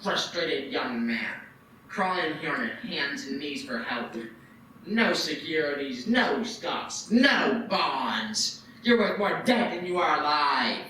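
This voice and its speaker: An actor playing a greedy, scornful old banker in a live radio play, cold and sneering. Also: male voice, fairly high pitch, sharp tone, full character voice. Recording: echoey hall, voice from far off, faint noise.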